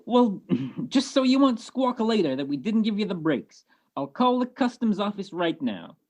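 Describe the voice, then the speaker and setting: A woman playing a gruff, sardonic male homicide sergeant with blunt, slangy talk in an old-style detective radio play. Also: mid-range voice, very animated, moderate pace, darkish tone. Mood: grudging. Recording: video call recording.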